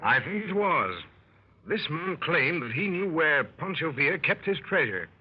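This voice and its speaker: An actor playing a plucky gentleman adventurer, telling his exploits wryly in 1930s radio style. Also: on the high side, a little slow, slightly rough, heavily acted. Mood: wry storytelling. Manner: wry, animated storyteller. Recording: muffled 1930s radio broadcast.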